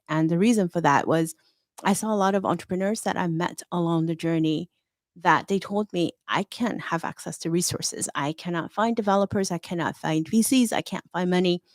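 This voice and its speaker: Candid middle-aged woman, low voice. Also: no room sound, studio quality. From Hong Kong. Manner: confident, candid, storytelling. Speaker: guest, fintech consultant. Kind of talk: interview conversation.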